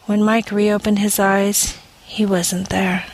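A woman reading scripted audio fiction in a measured, deliberate manner, phrase by phrase. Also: medium pitch, level tone, dry recording, low-quality recording. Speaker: story reader.

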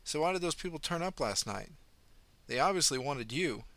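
A man's voice: fairly high pitch, lively intonation, normal speed, a bit bright, no echo, pitched above the performer's own voice. A man voicing a young male patient in a neck brace, asking dry, probing questions.

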